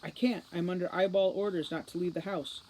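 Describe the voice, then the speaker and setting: A female fairy police captain from a fantasy storybook, read by a woman. She is brisk and composed, coaxing people along while staying firmly in charge. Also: lowish voice, steady tone, warm tone, a little hiss or hum, lightly acted. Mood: urgent, serious. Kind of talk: audiobook children's fantasy character voice.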